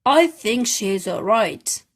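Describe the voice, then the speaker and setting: A woman's voice: on the high side, lively intonation, takes it slowly, breathy edge, heavily acted. A woman voicing a kindly, practical male park keeper in a children's storybook. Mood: reassuring.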